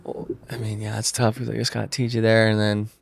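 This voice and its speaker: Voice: medium pitch, little pitch movement, fairly quick, vocal fry, background noise. A person in casual conversation, speaking in a hesitant, noncommittal way. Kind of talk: casual chat.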